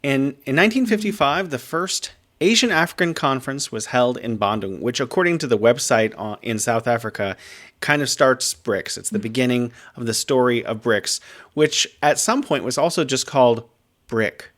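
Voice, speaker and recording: A man hosting a podcast panel, asking questions in a friendly, halting way with restarts. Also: mid-range voice, animated, fairly bright tone. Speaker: podcast host and moderator. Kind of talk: casual interview moderating.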